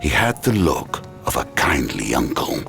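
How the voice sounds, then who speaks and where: A man delivers dramatic, scripted horror narration. His voice is extremely low and creaky, with lively movement in the pitch. It is the storyteller's voice of an audio drama. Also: unhurried, faint music behind.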